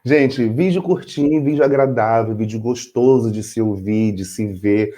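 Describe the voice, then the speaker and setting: A man's voice: medium pitch, moderate pace. A middle-aged man from Brazil co-hosting a casual chat, explaining with rhetorical questions to the audience. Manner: relaxed, chatty, says "entendeu?" often. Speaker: co-host.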